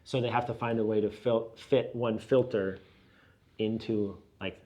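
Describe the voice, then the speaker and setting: Male, middle-aged, lower register. Interviewed leader, reflective and conversational, halting as he explains point by point. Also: steady tone, dark tone. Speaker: business and church leader being interviewed. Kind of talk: casual reflective interview talk.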